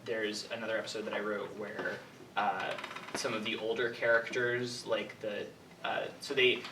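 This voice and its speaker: A young man answering questions informally as a writer, haltingly thinking aloud and hedging as he goes. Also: on the low side, flat delivery, pushed and bright. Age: young adult. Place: indoor room with an audience. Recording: echoey room from far off, clear background noise.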